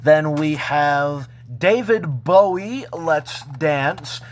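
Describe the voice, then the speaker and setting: Male vlogger, casual show-and-tell, unhurried and halting, with some background noise. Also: on the high side. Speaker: vlogger showing a personal collection.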